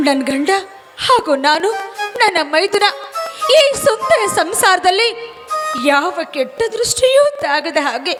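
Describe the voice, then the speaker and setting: A woman playing a devoted, pleading young wife in a melodramatic stage drama, with emotional, drawn-out delivery. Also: extremely high pitch, very animated, exaggerated voice. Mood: pleading, prayerful. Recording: loud music over the voice.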